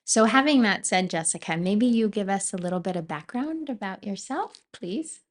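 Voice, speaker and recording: A woman's voice: mid-range voice, animated, moderate pace. A woman hosting a podcast interview, asking questions and affirming her guest. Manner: encouraging, frequent affirming murmurs. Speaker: podcast host. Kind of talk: casual interview conversation.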